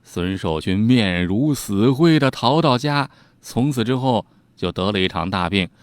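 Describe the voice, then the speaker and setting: Male storyteller, colloquial and animated, teasing asides, very dry close sound. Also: lowish voice, measured pace, no room sound. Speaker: storyteller, host. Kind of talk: informal storytelling narration of old ghost tales.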